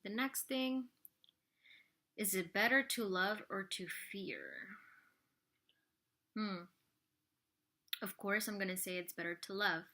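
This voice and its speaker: Woman, 30s, vlogger, bright chatty voice, rambling, casual Q&A to camera. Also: medium pitch, very animated, slow pace, pauses often. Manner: rambling, full of "like" and "you know". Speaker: vlogger answering viewer questions.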